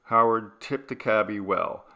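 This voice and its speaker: A man narrating a horror story as an audiobook, with dramatic, deliberate and suspenseful phrasing. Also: fairly low pitch, sharp tone.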